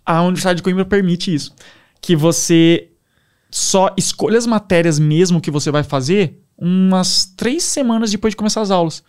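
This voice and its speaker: A young man with a Brazilian Portuguese accent, a podcast guest telling stories in a casual, animated way. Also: high-pitched, normal speed, clear, resonant tone. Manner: animated, enthusiastic storyteller. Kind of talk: casual storytelling.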